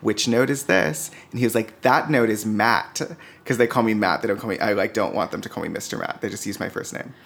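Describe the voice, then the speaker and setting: Casual, expressive man on a podcast. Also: lowish voice, a little fast, vocal fry. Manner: informal, swearing, expressive retelling with quoted dialogue. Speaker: podcast guest and producer. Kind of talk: casual chat, anecdote telling.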